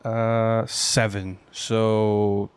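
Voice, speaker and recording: A man's voice: fairly low pitch, little pitch movement, very slow, clean tone. A man talking casually as a player in a tabletop game, describing his character's moves. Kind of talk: casual improvised game chat.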